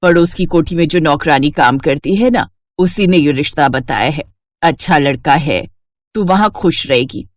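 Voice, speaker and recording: A woman's voice: low-pitched, moderate pace, no echo, studio quality, pitched below the performer's own voice, natural voice. A woman as a humble poor mother. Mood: caring, urging. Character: poor widowed mother, humble and caring housemaid.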